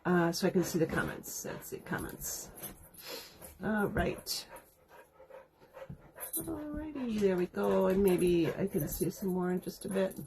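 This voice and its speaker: A woman's voice: mid-range voice, a little slow, pauses often, darkish tone. Congested woman with a nasal voice, easygoing crafting-class host who rambles and chats, light background noise. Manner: chatty, rambling, laughs often. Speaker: card-making instructor. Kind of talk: casual livestream chat.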